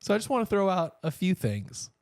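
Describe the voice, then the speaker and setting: A man's voice: high voice, big pitch swings, brisk, no echo. A man co-hosting a podcast, chatting informally through news stories in a casual, conversational way. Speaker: podcast co-host.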